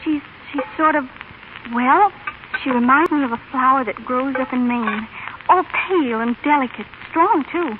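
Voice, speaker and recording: A woman's voice: sits high, normal speed, lightly acted. A woman playing an eager, affectionate teenage daughter in an old American radio drama, with a gushing, girlish manner and an American accent. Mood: earnest, admiring. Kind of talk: 1930s-1950s American radio drama acting. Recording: tinny, band-limited old radio broadcast, faint noise.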